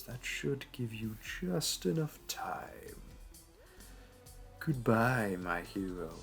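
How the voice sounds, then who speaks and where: Male actor as supervillain, roleplay audio, gravelly fry, slow delivery, suave, flirtatious, smug. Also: medium pitch, fairly bright tone, full character voice. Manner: suave, teasing, theatrical. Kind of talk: scripted roleplay audio drama.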